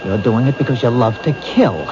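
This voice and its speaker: A man's voice: medium pitch, expressive, a little fast, crowd noise, lightly acted. An actor playing a shrewd male detective in a 1970s radio drama, pressing and goading a suspect. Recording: dull old radio broadcast with hiss.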